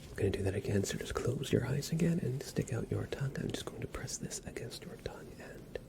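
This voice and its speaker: Whispering man in a gentle ASMR roleplay. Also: medium pitch, animated, quick pace. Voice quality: whispered, breathy soft voice. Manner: gentle, soothing, reassuring instructions. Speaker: ASMR performer playing a doctor. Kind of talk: ASMR medical exam roleplay.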